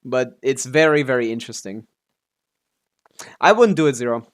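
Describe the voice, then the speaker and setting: A man's voice: medium pitch, lively intonation, normal speed. A man live streaming a video game, chatting casually in a laid-back way with some offhand swearing as he comments on play.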